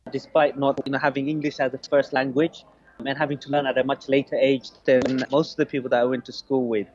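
A man's voice: medium pitch, flat delivery, a little fast, darkish tone. Hesitant Bangladesh-born man, muffled. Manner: hesitant, restarts, many "you know"s. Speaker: podcast guest. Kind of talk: casual interview answers. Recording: lo-fi muffled sound.

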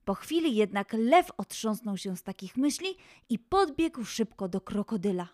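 Casting: female storyteller for children's tales, expressive and dramatic, mid-pitched, with music underneath. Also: normal speed. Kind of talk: scripted children's story narration.

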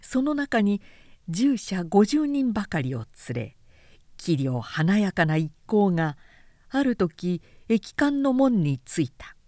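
A woman reading a literary story as a narrator, in a measured, formal storytelling manner. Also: mid-range voice, sing-song, darkish tone, dry recording, clean studio sound. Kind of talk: scripted literary audiobook narration.

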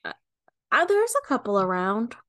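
A woman's voice: on the high side, big pitch swings, moderate pace, a bit bright, dry recording. A woman co-hosting a podcast in casual chat, laid-back and teasing, often laughing. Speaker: podcast co-host.

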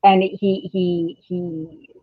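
A middle-aged woman chatting casually in an animated, storytelling way, with thin phone-like audio. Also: fairly low pitch, extremely slow, slightly rough, no echo. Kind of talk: casual chat.

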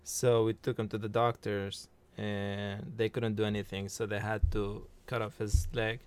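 A man's voice: lowish voice, little pitch movement, measured pace, clear, resonant tone. A man from Iraq, a non-native English speaker, telling his personal story casually as a podcast guest. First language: not English.

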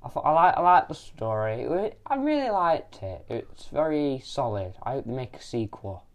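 A man's voice: mid-range voice, big pitch swings, moderate pace. A boy, chatting hesitantly and agreeably with his dad on a podcast. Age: child. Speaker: podcast co-host, son. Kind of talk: casual chat.

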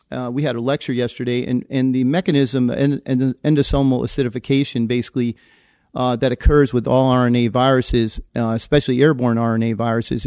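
A man's voice: mid-range voice, darkish tone, no room sound, studio quality. A man, a physician guest, answering interview questions with many fillers and restarts.

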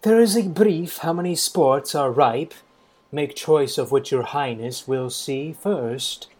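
Woman as a slow, mellow, dry courtier. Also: low voice, breathy edge, exaggerated voice. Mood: wry, disdainful. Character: Philostrate, dry courtly master of revels. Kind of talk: Shakespearean radio play acting.

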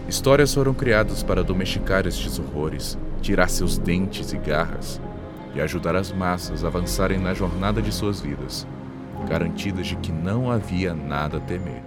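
A man reading a scripted dark horror prologue in a solemn, dramatic way. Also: sits low, moderate pace. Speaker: narrator. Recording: loud music under the voice. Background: loud music.

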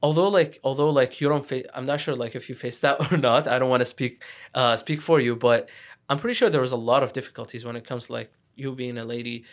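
A young man from Iraq chats on a podcast in an easy, unpolished way. His voice sits at an ordinary middle pitch, and he rushes through thoughts, doubling back on his words and leaning on fillers. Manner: fast, restarting, filler-heavy talk. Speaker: podcast host. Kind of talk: casual podcast conversation.

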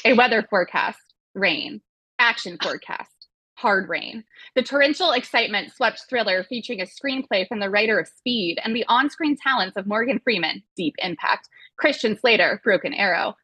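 Bright, higher-pitched woman hosting a podcast. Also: moderate pace, breathy edge, dry recording, low-quality recording. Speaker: podcast host and moderator. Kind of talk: scripted summary reading with casual asides.

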